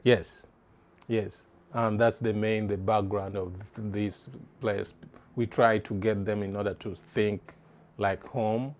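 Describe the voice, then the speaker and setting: A middle-aged man from East Africa answers questions in a lowish voice. He speaks haltingly, often restarting a phrase while he looks for the right word. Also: steady tone. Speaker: interviewee. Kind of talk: casual interview answers.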